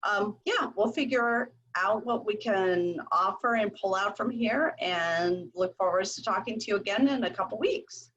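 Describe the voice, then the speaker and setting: A woman hosting a video call interview, talking in a relaxed, reflective way full of fillers. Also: mid-range voice, normal speed, hardly any pauses, lo-fi sound. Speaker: coach, host.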